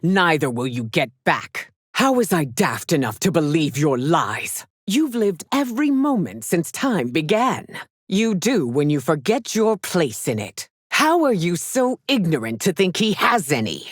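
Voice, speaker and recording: A woman's voice: lowish voice, very animated, slightly rough, dry recording, clean studio sound, heavily acted. A woman playing a proud, stern warrior empress in a fighting video game, speaking in a formal, commanding, scornful manner. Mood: haughty, scornful. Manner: imperious, formal, measured, commanding. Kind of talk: theatrical video game character lines.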